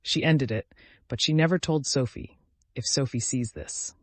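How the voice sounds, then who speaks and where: A man voicing a vengeful, calculating woman in an audiobook, deliberate and accusatory, with bitterly controlled grief. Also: mid-range voice, dry recording, natural voice. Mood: cold menace. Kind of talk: dramatic audiobook character reading.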